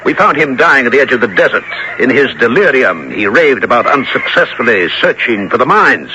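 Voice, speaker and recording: Actor as a lively seasoned explorer, noisy old radio. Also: male voice, medium pitch, a little fast, close to an everyday voice. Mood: musing. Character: seasoned, wry male explorer and big game hunter. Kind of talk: 1970s radio adventure acting and narration. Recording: noisy 1970s radio broadcast recording.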